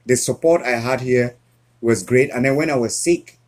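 Earnest Cameroon-born male guest, husky, halting delivery. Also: mid-range voice, a little mellow. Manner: earnest, halting with frequent restarts. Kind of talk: casual interview conversation.

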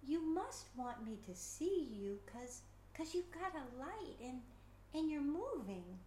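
A woman's voice: sits high, sing-song, takes it slowly, dark tone, slight background noise, voice pushed up, full character voice. A woman voicing a curious, wonderstruck young boy who stammers a little, in a roomy-sounding recording. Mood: hesitant. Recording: roomy echo.

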